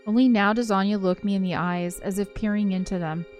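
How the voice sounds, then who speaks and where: A woman's voice: mid-range voice, steady tone, a little fast, darkish tone, faint music behind, close to an everyday voice. A woman reading aloud as an anxious, introspective young ghost woman, hesitant and reflective, in visual novel narration. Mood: wistful.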